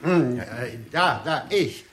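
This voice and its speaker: An actor playing a halting, ponderous male scientist in a 1960s German radio drama, stammering and blunt. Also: on the high side, animated, a bit bright. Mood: ponderous certainty. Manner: stammering, very slow, clipped grammar.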